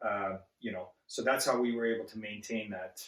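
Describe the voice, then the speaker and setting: A man with a fairly low voice answers interview questions, often restarting and stumbling over his words as he speaks earnestly. He sounds set back from the microphone. The room around him is roomy and echoing. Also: steady tone, fairly bright tone, far from the mic. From Zambia. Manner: halting, many restarts and fillers, earnest. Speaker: guest. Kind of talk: casual interview.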